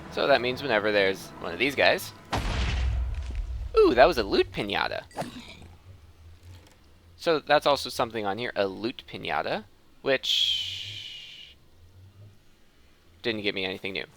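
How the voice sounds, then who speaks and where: Guy doing casual gaming commentary, clean clear voice, really up and down, kinda rambling and thinking out loud. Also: mid-range voice. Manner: rambling, thinking aloud while playing. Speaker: gaming video host.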